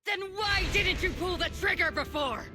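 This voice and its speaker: An actress voicing a cold, scheming female colonel who leads a cult in secret, speaking in measured, accusing lines in a game cutscene. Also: very high-pitched, music in the background. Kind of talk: video game cutscene dialogue.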